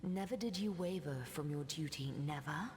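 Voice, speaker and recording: An actress playing an ancient mystical seer in a fantasy game, speaking solemn, cryptic, archaic lines in a breathy voice that often sinks toward a whisper. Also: female voice, sits low, moderate pace, darkish tone, slight background noise, close to an everyday voice. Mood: searching, wistful. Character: ancient mystical female seer who weaves threads of time. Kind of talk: scripted fantasy game dialogue. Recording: long cavernous reverb.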